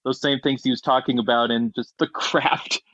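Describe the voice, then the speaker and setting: A man's voice: mid-range voice, fairly even intonation, moderate pace, a bit bright, dry recording, dull audio. A man hosting a podcast in a casual, conversational interviewing style. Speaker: podcast host.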